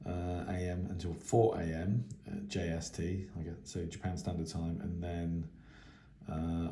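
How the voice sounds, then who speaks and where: A man giving a casual, unscripted radio hobby news update in a hesitant, halting way. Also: sits low, mellow. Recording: echoey, roomy sound.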